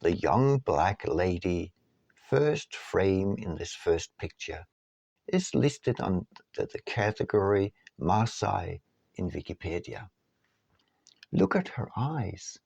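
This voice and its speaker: A man reading his own book aloud as scripted audiobook narration, in measured, deliberate phrases. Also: low voice, big pitch swings, creaky, darkish tone, husky, no echo.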